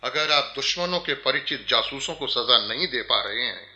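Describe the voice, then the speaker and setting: A man's voice: mid-range voice, lively intonation, normal speed, sharp tone, echoey room. An actor playing a bold royal courtier in a Hindi radio play, deferential in form yet pointed in what he says. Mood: pointed, urgent. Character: bold, outspoken male courtier serving the Nawab.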